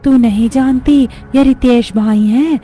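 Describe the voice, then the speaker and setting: A woman's voice: high-pitched, unhurried, mellow. A woman voicing a grave village woman who passes on grim gossip in a cartoon story. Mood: sombre. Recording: music behind the voice.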